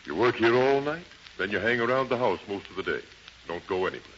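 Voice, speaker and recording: Shrewd, low-voiced American gambling boss. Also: male voice, expressive, quick pace, fairly bright tone. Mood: curious, approving. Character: shrewd, suspicious male gambling-house boss. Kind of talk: 1930s radio drama acting. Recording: noisy, dull 1930s radio recording.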